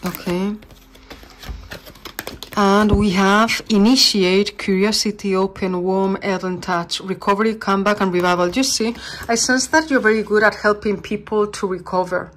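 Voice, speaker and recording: A woman reads tarot cards at a table indoors, speaking off-camera. She talks warmly and reassuringly, in a mid-range voice, and pauses to search for English words. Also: mid-range voice, fairly even intonation, slight background noise. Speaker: tarot reader. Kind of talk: channeled tarot reading.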